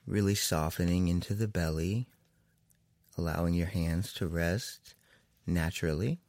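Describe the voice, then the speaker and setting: A man leading a guided meditation as a therapist, in a soothing manner with long pauses between phrases. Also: deep voice, a little mellow, dry recording.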